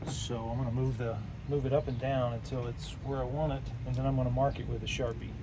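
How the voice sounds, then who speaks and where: A man casually demonstrating radio gear outdoors as a hobbyist, talking plainly and haltingly as he works. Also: mid-range voice, little pitch movement. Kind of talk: casual off-the-cuff hands-on demonstration. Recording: loud outdoor wind and ambient noise.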